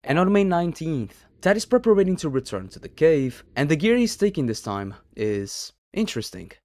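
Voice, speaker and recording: A man's voice: fairly high pitch, sing-song, normal speed, darkish tone, dry recording, clean studio sound. A man narrating a scripted horror story as a video narrator, in a dramatic storytelling manner.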